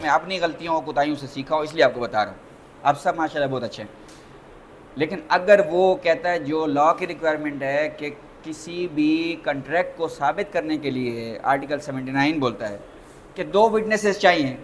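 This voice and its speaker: Male lecturer, Pakistani accent, high-pitched, didactic and repetitive with rhetorical questions, faint background noise. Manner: didactic, repeats points and asks rhetorical questions. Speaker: lawyer giving a lecture. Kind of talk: interactive law lecture mixing in English terms.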